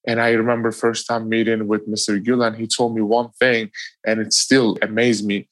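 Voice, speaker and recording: A young man with a Turkish accent talking casually and earnestly, leaning on "you know" between thoughts. His voice sits on the low side, and the recording is dry and close. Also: flat delivery, moderate pace, no room sound. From Turkey. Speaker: guest, professional athlete.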